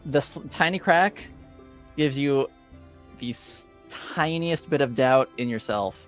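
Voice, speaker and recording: Slow-paced man game mastering over music. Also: mid-range voice. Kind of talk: narrating a tabletop roleplaying game. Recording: music behind the voice. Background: background music.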